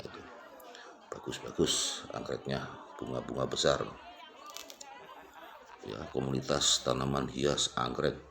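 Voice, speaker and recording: A man's voice: a bass voice, creaky. A man giving a casual, unscripted walk-through commentary, pointing things out and repeating himself as he goes. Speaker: vlogger giving an exhibition tour. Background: crowd at an exhibition.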